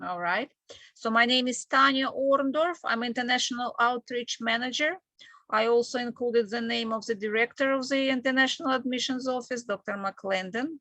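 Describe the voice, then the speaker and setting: A woman from Ukraine with a Ukrainian accent, presenting a webinar in a halting, explanatory way as an admissions manager. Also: fairly high pitch, bright, forceful tone, no echo. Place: online video call with screen sharing.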